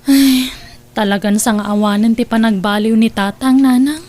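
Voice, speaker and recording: A woman's voice: on the high side, normal speed, darkish tone, close to an everyday voice. A woman playing a devoted, hardworking young elder sister with a Filipino accent, earnest and emotive in an Ilocano family radio drama. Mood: concerned.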